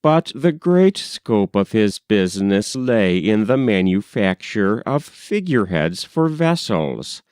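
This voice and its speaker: A man narrating a literary story in a measured, expressive reading style. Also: fairly low pitch, clear, resonant tone, no echo, studio quality. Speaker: audiobook reader.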